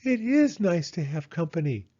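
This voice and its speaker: A man voicing a small, gentle, anxious female hedgehog in a children's storybook, earnest and hesitant. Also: on the high side, very animated, normal speed, lo-fi sound, pitched above the performer's own voice. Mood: brave, pleased.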